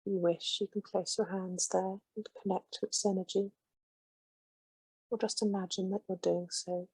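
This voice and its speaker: A woman leading a guided meditation with slow, soothing, gentle instructions. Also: medium pitch, little pitch movement, husky, no echo, poor audio.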